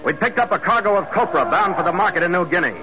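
An actor playing a tough, wry sailing ship skipper in a 1940s radio adventure, both narrating and speaking in character. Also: male voice, sits high, animated, fast, music in the background. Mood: stirring narration. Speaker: ship's captain and narrator. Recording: muffled, very noisy old radio recording.

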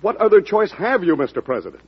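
An anxious treasury secretary. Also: male voice, high voice, sing-song, fast talker. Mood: pressing. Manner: anxious and pressing. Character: worried, insistent male treasury secretary. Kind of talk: 1940s radio acting. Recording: lo-fi old radio broadcast.

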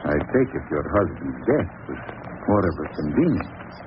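An actor playing a courtly, menacing villain in an old radio drama, speaking formally and with measured threat. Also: male voice, rumbling low, big pitch swings. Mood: cool menace. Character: menacing, courtly male villain with a deadly pet. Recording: noisy, narrow-band vintage radio recording.

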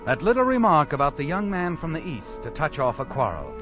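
A man's voice: a little high, huge pitch swings, a little fast. A man acting as the ominous, insinuating narrator of an old-time radio mystery, addressing the schemer as you. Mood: suspenseful. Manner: dramatic, insinuating. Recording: muffled old radio recording with music.

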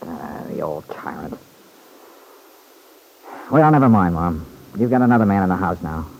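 An actor playing a protective, steady young grown son in an old radio serial. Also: male voice, sits low, moderate pace, vocal fry, heavily acted, rough recording. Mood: firm, protective.

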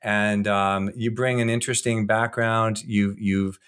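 A man co-hosting an interview podcast, introducing and questioning guests in an informal, somewhat halting and wordy way. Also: fairly low pitch, level tone, fairly bright tone, smooth.

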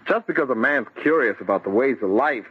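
An actor playing a dreamy, obsessive young bridegroom in an old radio horror drama, speaking with rapt, insistent intensity. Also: male voice, mid-range voice, expressive, a little fast, breathy edge. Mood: fervent. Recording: dull, noisy vintage radio recording.

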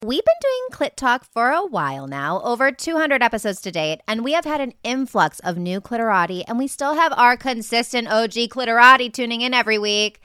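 A woman's voice: fairly high pitch, very animated, a little fast, few pauses, bright, forceful tone, clear, resonant tone, dry recording, clean studio sound. A woman co-host reading a promotional segment for her podcast in an upbeat manner.

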